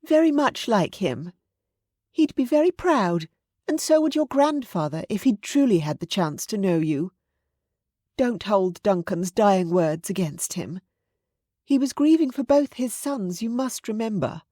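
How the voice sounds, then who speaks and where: A woman narrator playing an older aristocratic mother in a romance audiobook. The voice is pitched up high, chatty and indulgent, with an imperious assurance. The recording is dry and close. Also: animated, a little slow, dark tone, no echo. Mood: fond, assured.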